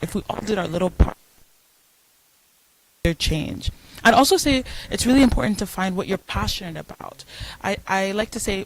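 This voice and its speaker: A woman answering as a panel guest, speaking in a hesitant, halting way with pauses and fillers. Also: medium pitch, no echo, poor audio.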